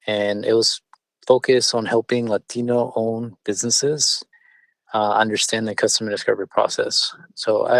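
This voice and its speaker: A man whose first language is Spanish, a business owner answering interview questions in a measured way with frequent fillers and restarts. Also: fairly low pitch, no echo. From born in California, partly raised in Mexico City. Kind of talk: conversational interview.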